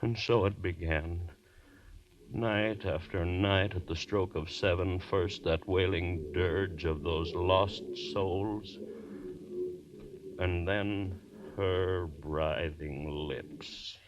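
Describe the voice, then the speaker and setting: An actor playing a haunted, guilt-ridden man unravelling into terror, with intense, halting, melodramatic delivery in a 1940s radio horror play. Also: low voice, fairly even intonation, lo-fi sound, noisy background. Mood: brooding fear. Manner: intense, halting, melodramatic, from hushed dread to shouting. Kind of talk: 1940s radio horror acting, first-person narration. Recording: vintage 1940s radio recording.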